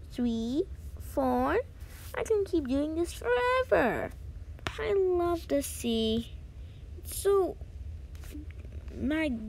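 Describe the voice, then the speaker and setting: A woman voicing a young, excitable little mermaid in a cartoon, cooing and drawing out her words. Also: extremely high pitch, a little hiss or hum, full character voice, poor audio. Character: young playful little mermaid girl who adores the sea. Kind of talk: amateur cartoon character voice acting.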